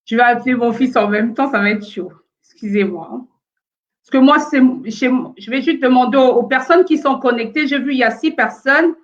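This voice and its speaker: A woman's voice: on the high side, normal speed, dull audio. A woman from France of Congolese origin hosts a casual live stream from home, hesitant and full of fillers while she fights sound problems.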